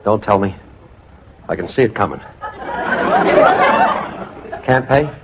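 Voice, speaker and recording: An actor playing a smug, wisecracking restaurant waiter with a mocking, wry manner, in 1940s radio comedy style. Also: male voice, fairly low pitch, lively intonation, slow pace, a faint crowd. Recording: dull old radio broadcast with studio audience and noise.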